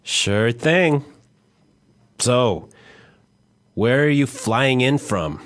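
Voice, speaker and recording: A man acts out a friendly, inquisitive taxi driver of forty in a lesson dialogue. He speaks slowly and carefully, with big rises and falls in pitch. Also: expressive. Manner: slow, exaggeratedly clear delivery. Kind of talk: acted language-lesson dialogue.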